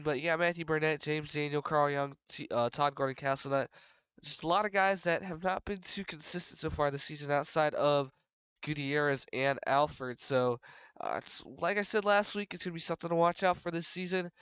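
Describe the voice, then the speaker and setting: A man's voice: on the high side, fairly bright tone, clear, resonant tone, no room sound. A young man with a congested, stuffy voice, giving a rambling solo preview of a racing league's standings with lots of fillers and restarts. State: congested from a cold. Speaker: race commentator for a sim racing league. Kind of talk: solo race preview commentary.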